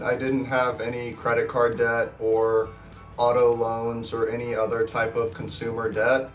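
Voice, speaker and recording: A young man in his twenties talks casually to camera, his voice nasal and scratchy from being sick. He sounds upbeat and rambles a little, with restarts. The mic sits some distance away in an echoey room, with faint guitar music underneath. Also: medium pitch. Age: 20s. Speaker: personal finance vlogger. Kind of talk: casual talking-to-camera vlog.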